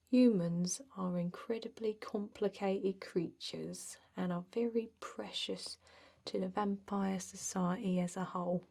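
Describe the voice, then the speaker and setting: Casting: actress for a bored female energy vampire clerk, very slow, soft and mellow, often whispered, halting deadpan delivery, ASMR roleplay. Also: mid-range voice. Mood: droning, reading. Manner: halting, monotone, hesitant, deadpan.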